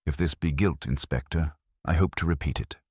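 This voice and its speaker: Man voicing a prim, very deep-voiced, dryly witty lady. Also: husky, no echo, clean studio sound. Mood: dry, guarded. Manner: precise, measured, formal. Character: composed, dryly witty, prim older woman, a music-loving typist.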